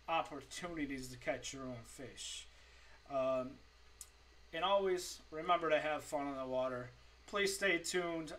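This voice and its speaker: A man in his forties giving informal tips to camera in a halting, improvised way from a home room. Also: on the high side, a bit bright, distant mic, low-quality recording. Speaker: fishing tips presenter. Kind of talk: informal tutorial talk to camera. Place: small home streaming room, indoors.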